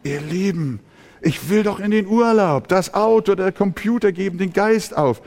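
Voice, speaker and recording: A man's voice: high voice, normal speed, vocal fry, darkish tone. A man preaching a sermon as pastor, impassioned, sometimes mimicking grumbling churchgoers. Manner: impassioned preaching, acts out complaining voices. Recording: long hall reverb.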